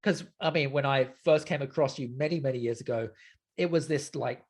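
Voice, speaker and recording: A man's voice: medium pitch, a little fast. A man hosting a casual podcast interview, chatty and inclined to repeat his affirmations and phrases. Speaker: podcast host.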